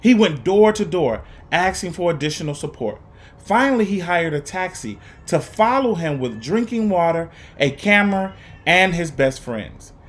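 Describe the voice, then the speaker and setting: A man reading a children's story aloud to students, with deliberate, emphatic phrasing and an earnest, encouraging manner. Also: sits high, sing-song. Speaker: guest reader for students.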